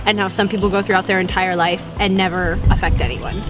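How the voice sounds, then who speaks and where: A teenage girl speaks sincerely in an interview. Her voice is mid-pitched and even. Music plays clearly behind. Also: fast talker, poor audio. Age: teens. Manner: sincere, earnest. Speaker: student.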